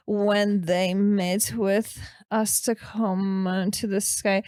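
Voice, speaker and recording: Podcast comedy role: flustered, dim young male student, played by a woman, painfully slow halting delivery, awkward strained voice, very dry sound. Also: medium pitch, a little mellow, no room sound. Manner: halting read-aloud, stumbling over and mangling words. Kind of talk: improvised comedy podcast character.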